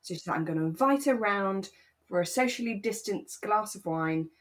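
A woman co-hosting a podcast, chatting casually and telling stories with animated asides. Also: mid-range voice, moderate pace. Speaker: podcast co-host. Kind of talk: relaxed casual chat recapping a radio drama.

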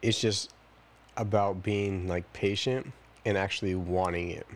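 A man's voice: low-pitched, steady tone, unhurried. A man in his twenties talking casually as the co-host of a podcast. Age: 20s. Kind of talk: casual chat.

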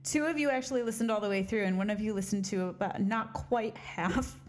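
A woman's voice: mid-range voice, a little fast, a little hiss or hum. A woman co-hosting a casual podcast, rambling and laughing often, recorded on a studio mic while wearing headphones. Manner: giggly, rambling, self-deprecating. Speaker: podcast co-host. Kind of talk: casual chat.

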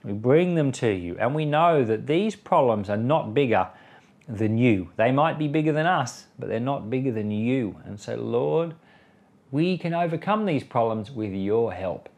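Male pastor, medium-pitched voice, informal Bible teaching, conversational, earnest, explanatory. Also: expressive, measured pace, darkish tone. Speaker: pastor and Bible podcast host. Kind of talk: informal Bible commentary and prayer.

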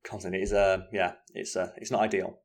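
A man's voice: low voice, level tone, a little fast, creaky. An English man co-hosting a live stream from home, chatting casually in a rambling way and swearing freely. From England. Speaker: co-host.

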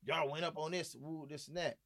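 A man chatting casually as a podcast guest, slangy and animated, repeating phrases for emphasis. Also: fairly high pitch, fairly quick. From Gardena, California. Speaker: podcast guest, restaurant owner. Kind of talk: casual chat, storytelling.

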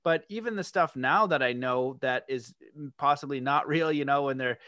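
A man's voice: mid-range voice, moderate pace, pushed and bright, no echo, dull audio. A man from Canada, a guest on an interview podcast, telling his story in a friendly way with frequent "you know"s. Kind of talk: interview conversation.

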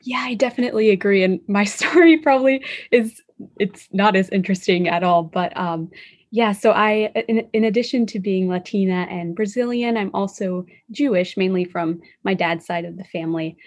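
A woman's voice: mid-range voice, normal speed. Friendly modest teen girl. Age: teens. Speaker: student panelist. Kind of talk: casual discussion.

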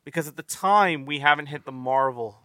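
Bright-voiced rambling podcast host. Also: male voice, on the high side, normal speed. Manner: rambling, stammering restarts, swears freely. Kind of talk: casual movie-review chat.

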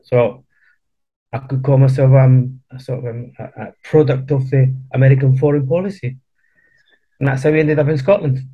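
A man in his fifties, born in Chile, telling his family's story as a guest in a casual interview, halting and often repeating words. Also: medium pitch, fairly even intonation, slightly rough, narrow, tinny audio.

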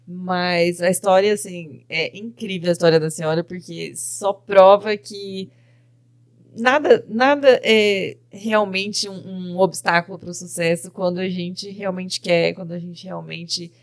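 A woman's voice: lowish voice, unhurried. A woman hosting a podcast interview, affirming and encouraging her guest. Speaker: podcast host.

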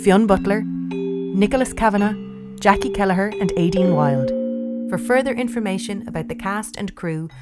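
A woman's voice: medium pitch, moderate pace. A woman announcer reading scripted closing credits. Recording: loud glockenspiel music under voice. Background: loud music.

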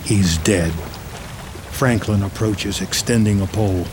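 A man's voice: on the low side, unhurried, background noise. Male narrator of a dramatic screenplay. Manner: terse, present-tense storytelling.

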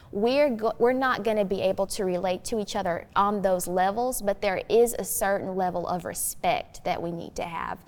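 A woman's voice: medium pitch, darkish tone. A teenage girl giving thoughtful discussion as a youth guest, speaking in an articulate, earnest, explanatory way. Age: teens. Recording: long hollow room reverb.

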